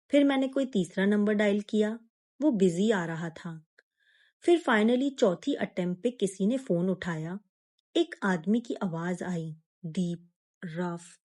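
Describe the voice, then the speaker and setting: Woman telling a scary suspense story, really slow n measured, dark relaxed voice, dramatic pauses. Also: medium pitch. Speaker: horror story narrator. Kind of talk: scripted first-person suspense story narration.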